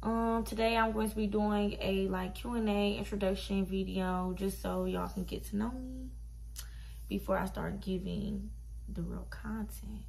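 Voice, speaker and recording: A woman's voice: medium pitch, a little slow, vocal fry, darkish tone, poor audio. A woman of twenty-one from Detroit, chatting casually to camera in a laid-back, slangy, blunt way. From Detroit, Michigan, USA. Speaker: vlogger. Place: small bedroom, indoors.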